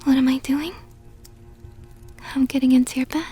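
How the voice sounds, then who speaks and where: Woman doing a whispery vampire countess for asmr, super breathy n slow, possessive but tender, soft music behind. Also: high-pitched, fairly even intonation. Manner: slow, coaxing, affectionate murmur. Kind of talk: ASMR roleplay, intimate whispering.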